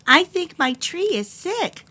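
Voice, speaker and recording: A woman's voice: very high voice, sing-song, slow, sharp tone, pitched above the performer's own voice, heavily acted. A woman voicing an earnest, caring young male fox in a children's storybook, with a pleading manner. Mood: worried, sad.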